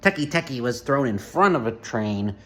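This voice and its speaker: A man giving comedic reaction commentary with sarcastic, deadpan quips. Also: medium pitch, normal speed.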